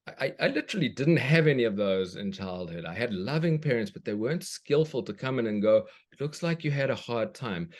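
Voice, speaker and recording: A man's voice: medium pitch, darkish tone. A man from South Africa, a children's book author, speaks thoughtfully as a guest, often restarting as he searches for words. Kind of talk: reflective conversation.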